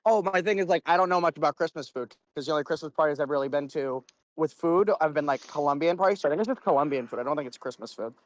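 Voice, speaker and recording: Fast-talking, rambling young-sounding man. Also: on the high side, no echo. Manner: rambling, casual, swears freely. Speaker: debater. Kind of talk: casual debate chat.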